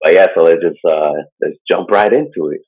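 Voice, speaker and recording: Deep-voiced dude rambling on a podcast, lots of likes and uhs, muffled lo-fi audio. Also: male voice, brisk. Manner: rambling, full of "like" and "uh" fillers, chuckles often. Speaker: podcast co-host presenting a topic. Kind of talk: casual chat. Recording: dull lo-fi sound.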